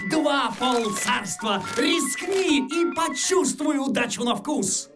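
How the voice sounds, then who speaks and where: A mysterious tempter from a cartoon. The voice is high and very drawn out, pitching temptations like a grand showman over clear music. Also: animated, slow pace, exaggerated voice. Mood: enticing, grandiose. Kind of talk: animated film acting, showman's patter.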